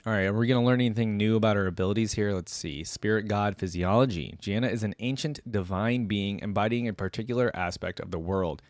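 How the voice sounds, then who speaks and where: A man's voice: on the low side, fast, few pauses, no room sound. A man hosting a reaction video, reading aloud and musing in casual conversational asides.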